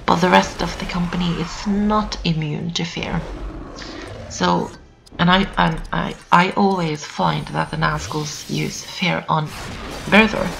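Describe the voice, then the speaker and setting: A teenage girl doing casual gameplay commentary, hoarse from just waking up, chatty and repetitive with lots of fillers. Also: on the low side, low-quality recording. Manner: chatty, repeats herself, lots of "um" and "so". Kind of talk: casual let's-play commentary. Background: builders' noise outside.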